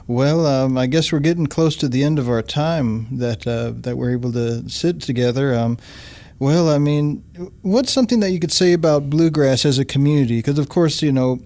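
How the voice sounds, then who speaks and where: A man's voice: medium pitch, moderate pace, dark tone. A man hosting a radio interview in a studio, asking long, curious questions and affirming his guest. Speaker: radio show host. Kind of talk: interview conversation.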